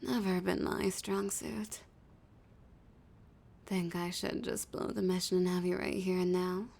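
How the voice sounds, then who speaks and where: A woman voicing a flirty, dominant female villain in an intimate audio roleplay, teasing and often dropping into a breathy whisper. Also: on the low side, level tone, measured pace, darkish tone. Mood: teasing, confident.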